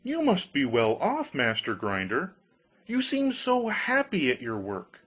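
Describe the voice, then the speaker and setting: Storybook lad, played by a man: high, animated, gullible, cheerful, exclaims eagerly. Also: measured pace, husky, full character voice. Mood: admiring, proud. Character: cheerful, gullible young man, naive and easily delighted. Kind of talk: storybook character voice.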